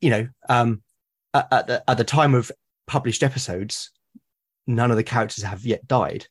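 A British man with a husky, slightly breathy voice at an ordinary pitch, speaking as a podcast guest. He talks earnestly, halting and repeating words as he gathers his thoughts. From Britain. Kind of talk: conversational interview.

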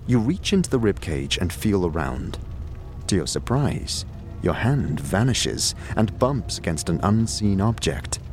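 A man narrating a scripted fantasy audio drama in a dramatic storytelling manner. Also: lowish voice.

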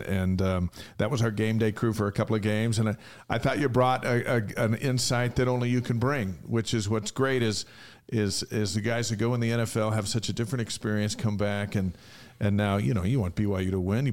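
A man hosting a sports talk show, relaxed and teasing as he chats with a guest. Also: on the low side, fairly quick. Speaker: sports radio host.

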